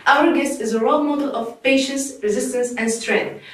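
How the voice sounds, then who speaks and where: A woman's voice: high voice, steady tone, moderate pace, close to an everyday voice. A woman playing a talk show host, introducing guests and asking questions in a formal, announcing way, recorded far off in an echoey room. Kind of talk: scripted talk show hosting.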